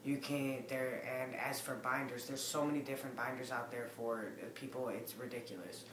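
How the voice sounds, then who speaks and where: A young man with a raspy voice, rambling to a webcam in a casual update, with lots of ums. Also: mid-range voice, steady tone, normal speed, echoey room, background noise. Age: young adult. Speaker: vlogger. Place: living room with a Christmas tree. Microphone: webcam at desk distance.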